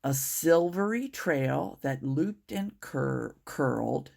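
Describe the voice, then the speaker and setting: A woman reading a children's picture book aloud in an expressive, drawn-out storytelling manner. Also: sits low, gravelly. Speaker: storytime reader.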